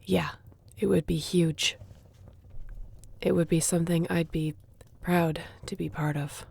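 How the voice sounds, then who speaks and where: A woman's voice: fairly low pitch, steady tone, unhurried, creaky, a little mellow, breathy edge, exaggerated voice. A woman playing a sassy, competitive young shifter in an audio roleplay, talking in a casual, teasing way. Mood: quiet, earnest. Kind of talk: audio roleplay acting, addressing the listener.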